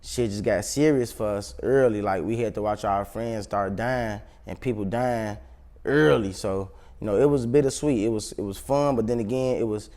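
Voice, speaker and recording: A man's voice: fairly low pitch, normal speed, mellow. A young adult man with a Chicago accent, giving a casual interview in slangy, profane street talk. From South Side of Chicago, United States. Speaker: interview guest, rapper.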